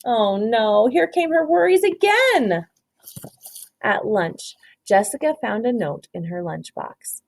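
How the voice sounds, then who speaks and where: Woman, lively kindergarten teacher, swooping intonation, reading a storybook and quizzing pupils on counting. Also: a little high, slow. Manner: prompting, instructive, praising pupils. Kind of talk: picture book read-aloud with counting questions to young children.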